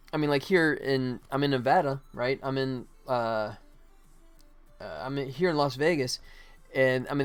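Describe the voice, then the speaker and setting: A man's voice: medium pitch, clean tone. An American man hosting a casual livestream as he works, rambling and thinking aloud. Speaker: livestream host, game developer. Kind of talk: casual livestream chat while working. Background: music.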